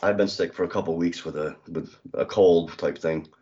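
A man with a very low voice co-hosts a podcast, his nose plainly stuffed up from a cold. He answers with dry, easygoing deadpan remarks. The audio is a little dull. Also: normal speed. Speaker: podcast co-host. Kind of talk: casual chat.

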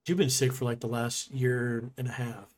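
A man's voice: mid-range voice, little pitch movement, normal speed. A man co-hosting a podcast in a casual, conversational style. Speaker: podcast producer and co-host.

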